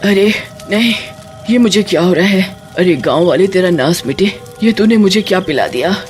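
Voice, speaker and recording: A greedy female witch ghost acted by a woman from a children's cartoon. She threatens and taunts in drawn-out words, craving liquor. Music plays underneath. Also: lowish voice, animated, close to an everyday voice. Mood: agonised. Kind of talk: Hindi children's cartoon voice acting.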